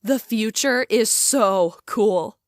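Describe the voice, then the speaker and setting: High-voiced, innocent-seeming young woman acted by a woman. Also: takes it slowly, no room sound, studio quality. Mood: amazed. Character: seemingly innocent young woman from the 1800s, wide-eyed and pleading. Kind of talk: audio drama acting.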